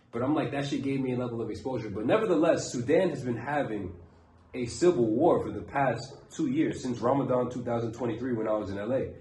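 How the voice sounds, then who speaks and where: A man, born and raised in Brooklyn, preaches in a blunt, profanity-laced motivational rant at an even middle pitch. He keeps circling back to his main point. The recording has a roomy echo over faint background noise. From Brooklyn, New York. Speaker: self-improvement coach. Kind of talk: casual motivational monologue.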